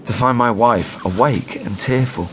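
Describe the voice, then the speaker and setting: An English actor playing a fussy, defensive young husband and diarist in a Restoration period radio drama. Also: male voice, mid-range voice, a little slow, fairly bright tone, slight background noise, natural voice. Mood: sorrowful. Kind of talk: radio drama with diary narration.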